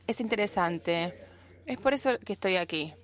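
A woman with a Rioplatense Spanish accent doing simultaneous interpretation, relaying speech in a steady, even way. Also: mid-range voice, moderate pace.

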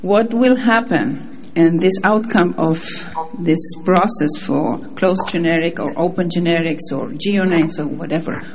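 A woman's voice: lowish voice, measured pace, a crowd in the background, rough recording. A native Spanish-speaking woman with a Spanish accent, giving informal remarks as a panelist. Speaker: panelist, government delegate.

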